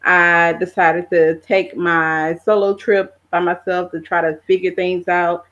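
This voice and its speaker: A middle-aged woman answering as a podcast guest, talking casually in a halting, conversational way. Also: lowish voice, little pitch movement, a bit bright, muffled recording. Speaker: podcast guest, travel content creator. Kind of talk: casual interview chat.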